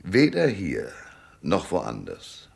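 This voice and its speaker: Hardboiled private eye, super low husky voice, dry n world-weary, big swings in pitch, radio play. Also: male voice, wildly swooping pitch, slow pace, exaggerated voice. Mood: dry, knowing. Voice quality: very deep, gravelly, husky. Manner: laconic, dry, knowing. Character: world-weary hardboiled private detective, cynical but tender. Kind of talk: German radio drama acting.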